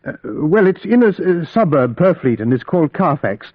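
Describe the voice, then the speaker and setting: A man's voice: on the high side, sing-song, moderate pace, husky, no echo. A man playing a polite, uneasy Englishman who speaks and narrates with precise diction in an old-time radio play. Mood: politely uneasy. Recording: dull vintage radio recording, music behind.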